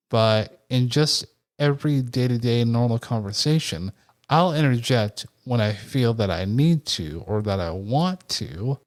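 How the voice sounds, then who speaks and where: Soft-spoken man, easygoing podcast chat. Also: medium pitch. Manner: reflective, candid, measured. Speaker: podcast co-host.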